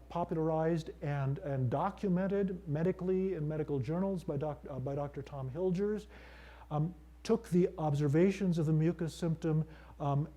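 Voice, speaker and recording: A middle-aged male priest giving a conference lecture, measured and thoughtful, with frequent fillers and restarts. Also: fairly high pitch, mellow. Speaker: priest and seminary teacher.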